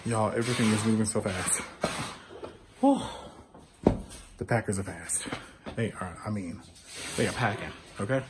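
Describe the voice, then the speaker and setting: A man's voice: fairly low pitch, lively intonation, normal speed, long pauses, heavy background noise. A man in his thirties, stuffed up and nasal, chatting to viewers as he films a casual tour of his home while it is being packed up. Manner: chatty, emotional, addresses viewers as y'all. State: nasal congestion. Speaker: vlogger. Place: house being packed up, indoors. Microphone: handheld phone camera.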